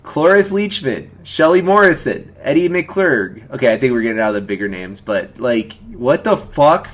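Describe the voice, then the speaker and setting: A man's voice: mid-range voice, lively intonation, moderate pace. A man hosting a stream, chatting casually about movies in a rambling, casually profane way. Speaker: stream host. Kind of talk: casual film commentary chat.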